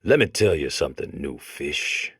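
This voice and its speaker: A man's voice: a bass voice, very animated, normal speed, fairly bright tone, slightly rough, dry recording, studio quality, exaggerated voice. An American man voicing a comic game robot that talks like a grizzled old convict, lecturing in folksy, tough prison slang. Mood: tough, mocking. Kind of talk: comic game character monologue.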